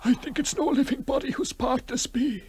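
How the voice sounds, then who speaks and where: An actor playing a pious, excitable old village parson in a 1960s radio horror drama, full of declamatory oaths. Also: male voice, very high-pitched, moderate pace, husky, heavily acted. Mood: grave horror.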